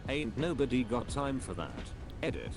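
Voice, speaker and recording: A man reading forum posts aloud at a flat, even reading pace. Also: mid-range voice, normal speed. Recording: music playing loudly behind.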